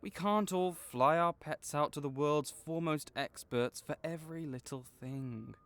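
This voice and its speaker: A man playing a kind, patient veterinarian for magical creatures in a comforting audio roleplay, explaining things gently and encouragingly. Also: fairly high pitch, measured pace, clean tone. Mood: firm, reassuring. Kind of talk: comforting audio roleplay, one-sided conversation.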